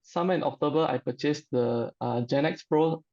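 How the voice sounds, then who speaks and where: A middle-aged man with a Malaysian accent, giving an informal personal testimonial in a halting, hesitant way. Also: a little high, a little mellow, highs cut off.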